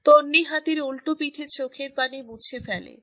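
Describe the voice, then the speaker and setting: A woman's voice: sits high, normal speed, bright, forceful tone. A woman narrating a scripted romantic story.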